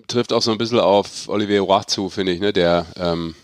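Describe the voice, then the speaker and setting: A man's voice: deep voice, fairly quick. A man hosting a sports podcast in an informal, bantering, chatty way. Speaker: podcast host. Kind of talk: casual sports podcast chat.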